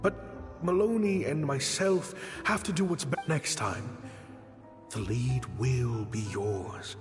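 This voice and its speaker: An actor playing an earnest, apologetic theater director in a video game, measured and placating. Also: male voice, medium pitch, natural voice. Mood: regretful. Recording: long reverb, loud music behind.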